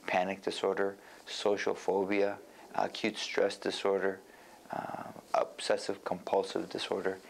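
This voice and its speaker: A man speaking as a doctor giving an educational presentation, in a measured, explanatory and somewhat halting way. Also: fairly low pitch, gravelly, a little hiss or hum. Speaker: medical director and doctor presenting. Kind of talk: educational presentation on mental health.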